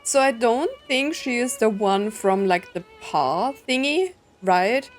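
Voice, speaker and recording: A woman's voice: on the high side, lively intonation, slow. A young woman streaming a story game, chatting casually and saying "like" and "right" a lot. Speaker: gameplay streamer. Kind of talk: casual reaction commentary. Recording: voice over game music.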